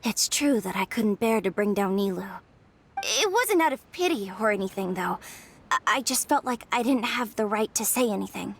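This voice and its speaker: A woman's voice: mid-range voice, animated, normal speed, a little hiss or hum, natural voice. A woman playing a candid, self-critical young female character in a video game, speaking in an earnest, confessional way. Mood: contrite, admiring.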